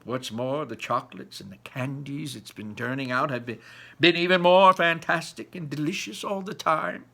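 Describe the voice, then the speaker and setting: A man voicing an excitable elderly grandfather telling a mysterious storybook tale, dramatic and dropping to hushed tones for the mystery. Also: a little high, moderate pace, creaky, heavily acted. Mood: excited. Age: older.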